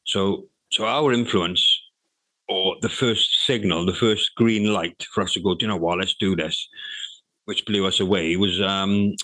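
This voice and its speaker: Middle-aged man, low voice, dry close recording, rambling and sweary interview chat. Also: steady tone, a little slow, pushed and bright, dry recording, poor audio. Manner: rambling, swears freely, many restarts. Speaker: interviewee, hip hop artist.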